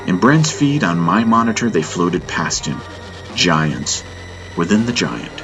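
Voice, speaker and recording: Deep-voiced man narrating sci-fi over music. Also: animated, measured pace. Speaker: audiobook narrator. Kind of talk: dramatic scripted sci-fi audiobook narration.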